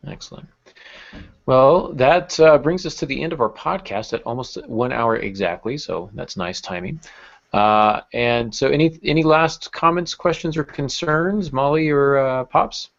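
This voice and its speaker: Gravelly man hosting, lo-fi sound. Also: mid-range voice, a little slow, fairly bright tone. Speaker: podcast host. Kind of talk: casual podcast hosting. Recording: dull, lo-fi podcast audio.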